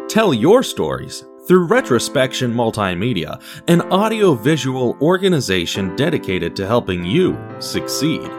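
A man who hosts an audio drama podcast, reading scripted narration and announcements in a dramatic storytelling way. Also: mid-range voice.

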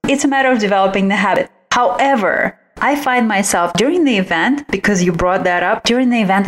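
A woman talks as a guest expert in an interview. Her voice is of average pitch for a woman. She explains her advice conversationally, as someone answering a host. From originally from outside the United States. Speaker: guest, visual branding expert.

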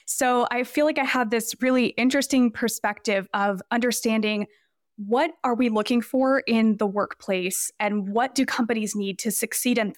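A woman's voice: a little high, dry recording, studio quality. A woman in her twenties, a podcast guest, talking casually and explaining her ideas at length with examples. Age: 20s. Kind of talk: casual interview talk.

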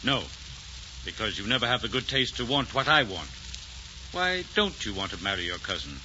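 A man's voice: fairly low pitch, sing-song, moderate pace, pushed and bright, full character voice. A stern old monarch in a vintage radio play. His voice is very hard-edged and commanding, with a pompous formality. The recording is dull, with faint noise. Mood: scornful. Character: stern, imperious old French king and father. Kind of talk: 1940s radio acting.

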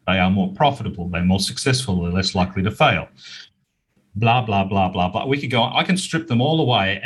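A man's voice: on the low side, breathy edge, low-quality recording. An Australian man, middle-aged or older, speaking as an outspoken, emphatic guest in a conversational interview. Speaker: interview guest, business owner and author.

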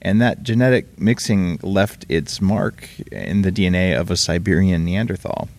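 A man hosting a podcast, chatting casually and upbeat with quick asides, recorded in a room with his guest. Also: sits low, creaky, darkish tone. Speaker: podcast host. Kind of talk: casual interview and ad read. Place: room in Hawaii, live with guest.

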